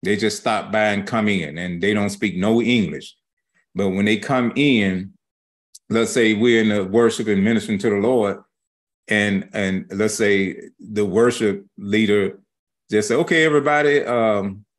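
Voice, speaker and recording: A man with a fairly low voice teaches scripture informally. He moves in halting, broken phrases and uses folksy, colloquial grammar, like a teacher explaining things off the cuff. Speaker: religious teacher.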